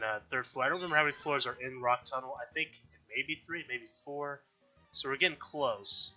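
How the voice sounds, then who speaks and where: Bright nasal man narrating gameplay. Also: mid-range voice. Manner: chatty, frequent self-corrections and throat clearing. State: slightly nasal and dry-throated from allergies. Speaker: gaming video host. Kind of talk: casual let's-play commentary. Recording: dull lo-fi audio with faint background music.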